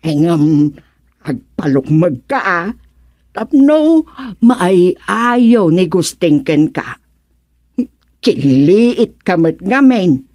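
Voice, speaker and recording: A woman's voice: lowish voice, sing-song, extremely slow, dark tone, dry recording, clean studio sound, full character voice. A woman playing a doting mother giving advice in an Ilocano radio comedy-drama, with an Ilocano accent. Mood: coaxing. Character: doting, advising mother of a marriageable daughter.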